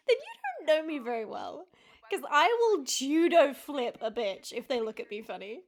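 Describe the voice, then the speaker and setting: An actress playing an affectionate, feisty young wife in an audio roleplay, teasing and soft-hearted. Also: female voice, high-pitched, big pitch swings, a little slow, full character voice. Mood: playful.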